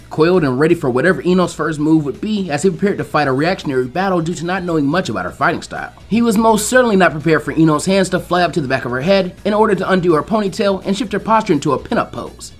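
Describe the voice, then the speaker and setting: A man narrates a fan fiction story at a quick pace, swinging expressively in pitch. His slightly high voice is rough-edged, with music playing behind it. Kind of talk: animated scripted narration.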